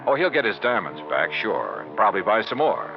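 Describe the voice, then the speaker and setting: An actor playing a sharp, confident insurance investigator who narrates in brisk, wry 1950s radio detective style. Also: male voice, on the low side, huge pitch swings, creaky, heavily acted. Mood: reflective, wry. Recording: muffled 1950s radio recording with music.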